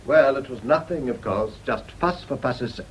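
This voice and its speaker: A man's voice: medium pitch, animated, normal speed, a little hiss or hum, close to an everyday voice, rough recording. An actor playing a worldly, cynical middle-aged Englishman in a radio drama, urbane and patronising. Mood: genial, smooth.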